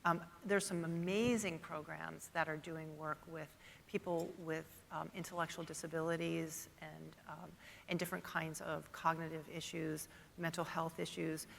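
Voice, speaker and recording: A woman's voice: deep voice, little pitch movement, moderate pace, gravelly, fairly bright tone, a little hiss or hum. A woman moderating a panel, affirming and conversational as she sets up questions. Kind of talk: panel discussion. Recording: long reverb of a large hall with faint ambience.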